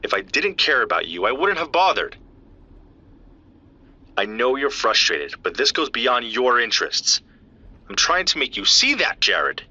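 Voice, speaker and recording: A man's voice: medium pitch, big pitch swings, unhurried, long pauses, sharp tone, dull audio, exaggerated voice. An actor playing a worried man who calls his friend and urgently pleads with him to stop. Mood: earnest. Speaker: caller. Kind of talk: audio drama acting.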